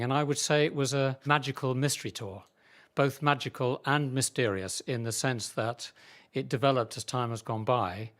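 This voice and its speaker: A man, an amateur musician, speaking in a measured, articulate way in an interview. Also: mid-range voice. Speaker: amateur mandolin player. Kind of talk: informal interview.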